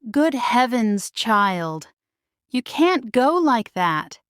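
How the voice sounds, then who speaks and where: A woman's voice: fairly high pitch, very animated, drawn-out words, fairly bright tone, smooth, dry recording, clean studio sound, full character voice. A woman voicing a kindly, urgent fairy godmother from a storybook, with a motherly, exclamatory manner.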